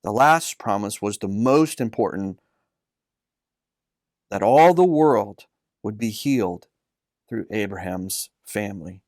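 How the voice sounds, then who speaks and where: A man reading a scripted children's Bible story as its narrator, in a measured, earnest manner. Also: fairly low pitch, animated, darkish tone, dry recording, studio quality.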